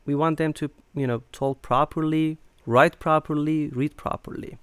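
A middle-aged man from Turkey, speaking as an interview guest in a halting way with many fillers. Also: on the high side, fairly even intonation, clean tone, no room sound. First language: Turkish. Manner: hesitant, many fillers and restarts. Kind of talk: conversational interview.

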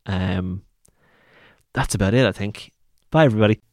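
A man hosting a podcast, easygoing in chat and brisk in his sign-off. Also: on the low side, no room sound, clean studio sound. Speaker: podcast host. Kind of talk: casual chat.

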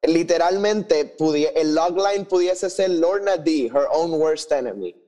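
Mellow, higher-voiced man, unhurried. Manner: switches often between Spanish and English mid-sentence. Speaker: podcast co-host. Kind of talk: casual chat reviewing an audio drama.

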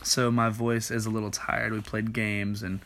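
A young man, a youth worship leader, giving a casual Bible study talk in a tired, slightly hoarse voice, often saying um and clearing his throat. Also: on the low side, flat delivery, normal speed, a bit bright, slight background noise. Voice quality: many ums and throat clearing.

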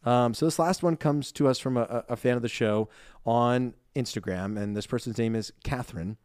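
A young man co-hosting a podcast, reading listener reviews in a lively, self-deprecating chat and laughing easily. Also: medium pitch, normal speed, smooth, no room sound, clean studio sound. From Ireland. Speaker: podcast co-host.

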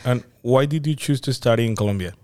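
A man's voice: mid-range voice, fast talker, darkish tone. A man hosting a podcast interview, asking questions and recapping the guest's answers. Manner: asks follow-ups, recaps answers. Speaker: podcast host.

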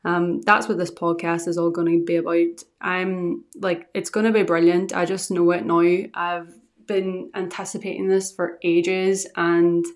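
A young woman with a Northern Irish accent softened by Scottish tones, hosting a solo podcast in an earnest, rambling, chatty way into a podcast microphone. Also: lowish voice, little pitch movement, a little slow. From Northern Ireland. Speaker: podcast host.

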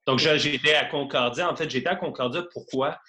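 A man's voice: mid-range voice, brisk, sharp tone. A man in his thirties from Quebec with a Quebec French accent, chatting at ease as a podcast guest, with many fillers and restarts. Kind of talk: casual remote podcast interview.

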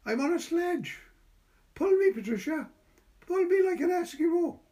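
A man's voice: very high-pitched, expressive, a little slow, warm tone, voice pushed up. A man voicing a cheeky, playful little boy in a storybook reading. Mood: playful, excited.

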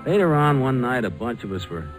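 A man with an American accent acting a determined young airline founder in a 1940s radio drama, with brisk, insistent, colloquial delivery. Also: medium pitch, big pitch swings, loud music behind the voice, close to an everyday voice, rough recording. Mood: reflective narration. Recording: vintage 1940s radio broadcast.

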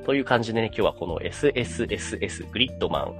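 Lively, quick-talking male anime podcast host. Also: fairly low pitch, faint music behind. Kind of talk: casual explanatory podcast chat.

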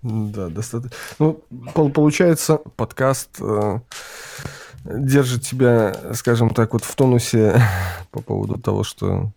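Gravelly, mellow-voiced male interviewer. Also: mid-range voice, no echo. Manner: halting, searching for words, short affirmations. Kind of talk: casual interview.

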